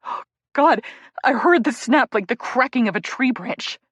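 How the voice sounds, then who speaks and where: Woman voicing young male hockey player, anguished, shouting, sobbing, swearing, dry close sound. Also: fairly high pitch, lively intonation, unhurried, no room sound, pitched above the performer's own voice. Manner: raw, shouting through sobs, swearing.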